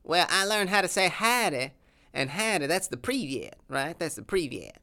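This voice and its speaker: A folksy, boastful Texan cowboy with a thick Southern drawl, put on by a man. The voice sits a little high and rings bright and clean. He talks in broken, stubborn grammar, full of swagger. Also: very animated, normal speed, full character voice. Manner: drawling, ungrammatical, indignant bluster. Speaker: interview guest.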